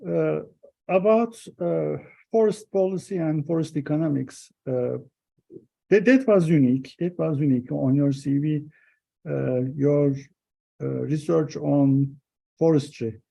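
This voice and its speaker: A man's voice: on the high side, measured pace, gravelly, dark tone, lo-fi sound. A man working as an interviewer, putting prepared questions to a guest in a formal style.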